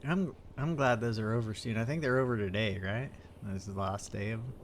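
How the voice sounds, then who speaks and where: Guy doing a low-voiced rambly awkward dude, stumbles and repeats himself, faint hiss behind. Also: brisk, close to an everyday voice. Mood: easygoing. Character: awkward, rambling man, easygoing and vague. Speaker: roleplay character. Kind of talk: improvised roleplay chat.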